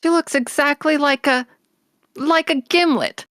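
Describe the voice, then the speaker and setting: Woman doing little storybook orphan girl, eager n breathless, high voice, pleading, really dry sound. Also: a little slow, a bit bright, no room sound. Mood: passionate indignation. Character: eager, impassioned eleven-year-old orphan girl from a storybook.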